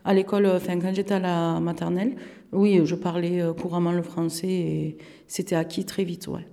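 Woman, 30s, from Turkey, Turkish first language, mellow tone, hesitant with fillers. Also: fairly low pitch, level tone, normal speed, vocal fry. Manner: hesitant, many fillers and restarts. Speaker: interviewee. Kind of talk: casual recollection in an interview.

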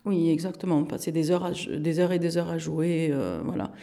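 Woman, 30s, from Turkey, Turkish first language, mellow tone, hesitant with fillers. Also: sits low, fairly even intonation, fairly quick, gravelly. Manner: hesitant, many fillers and restarts. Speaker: interviewee. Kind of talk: casual recollection in an interview.

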